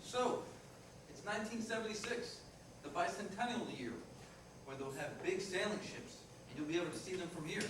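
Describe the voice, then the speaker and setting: A frank, remorseful working-class ex-fiancé, acted by a man in a stage play, plain-spoken and rather high in pitch. The sound is distant, with heavy room echo. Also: normal speed, natural voice. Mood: descriptive. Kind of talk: staged reader's theater dialogue. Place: indoor performance room, distant microphone. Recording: echoey noisy room recorded from far off.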